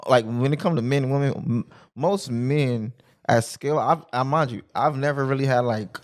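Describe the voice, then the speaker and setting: A man's voice: mid-range voice, moderate pace. A man in his thirties in casual podcast chat, laid-back, repeating his phrases with loose slang. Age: 30s. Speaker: podcast co-host.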